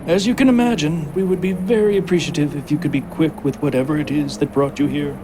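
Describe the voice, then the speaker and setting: A man's voice: on the high side, fast, background noise, close to an everyday voice. An actor playing an imperious, impatient king in an audio drama, formal and condescending. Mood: haughty. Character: imperious, impatient king holding royal court.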